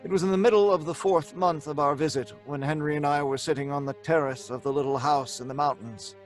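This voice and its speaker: A man's voice: on the high side, fairly even intonation, fairly quick, faint music behind, lightly acted. An actor playing a haunted, frantic scientist who tells his own tale in old-time radio horror style. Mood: grave, haunted. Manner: melodramatic, stammering when speaking in dialogue. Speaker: narrator and lead actor.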